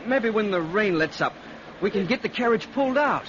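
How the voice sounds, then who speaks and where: An actor playing an earnest, excitable, kindly rural American lad in an old-time radio drama, speaking in a halting, folksy way. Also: male voice, sits high. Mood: grave, consoling. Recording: muffled, noisy vintage radio recording.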